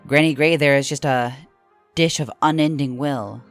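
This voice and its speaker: A woman playing a blunt, bossy, brown-furred female alien in audio fiction, with a flat, brusque delivery. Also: a bass voice, normal speed, soft background music. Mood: dry, blunt. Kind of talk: audio fiction character acting.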